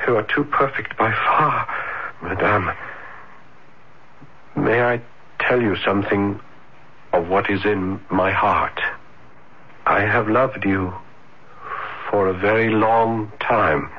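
An actor playing a shy, ardent young dreamer in a 1970s radio drama, hesitant and breathless with florid romantic phrasing. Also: male voice, fairly low pitch, slightly rough. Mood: awestruck. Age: young adult. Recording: muffled noisy old radio broadcast.

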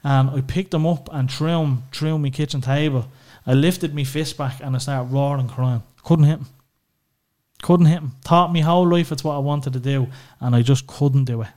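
A man's voice: medium pitch, moderate pace, darkish tone. An Irish man talking openly and at length about his family, rambling with lots of fillers and some swearing. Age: adult father of children. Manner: open, rambling, heavy use of fillers and swearing. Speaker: podcast guest. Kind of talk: candid personal conversation.

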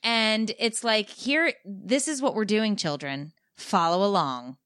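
A blunt woman in her thirties chats casually as co-host, reading out tips and tearing into them with swearing asides. Her voice sits at an ordinary middle pitch. It is recorded close and dry, with no room sound. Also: expressive, slow, a bit bright, no room sound. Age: 30s. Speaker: podcast co-host. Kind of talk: casual chat.

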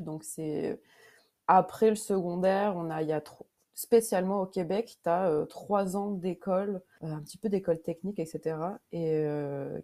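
A woman from France with a French accent, a guest author talking in a relaxed, hesitant way with many fillers. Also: on the low side. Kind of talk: casual interview.

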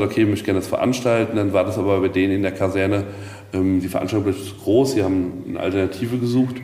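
Low-voiced man in an echoey space. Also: a little mellow. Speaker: castle leaseholder, interviewee. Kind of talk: casual interview storytelling. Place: at a hilltop castle, reverberant. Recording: echoey, long reverb, noisy ambience.

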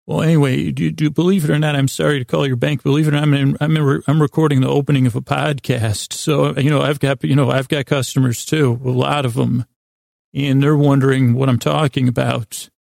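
A man with a gravelly, creaky voice, recorded close and dry. He hosts a bedtime show, rambling in a soothing, improvised way. His sentences stumble, restart and wander off into gentle tangents. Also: mid-range voice, little pitch movement, a little fast, no room sound. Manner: meandering, stammering, with repeated false starts and tangents; lulling, soothing delivery. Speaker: host of a bedtime sleep podcast.

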